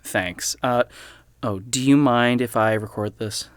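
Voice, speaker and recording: An actor playing an awkward, apologetic young amateur investigator in an audio drama, talking in a halting, stammering way. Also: male voice, medium pitch, clean tone, no echo, clean studio sound, lightly acted. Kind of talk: naturalistic audio drama acting.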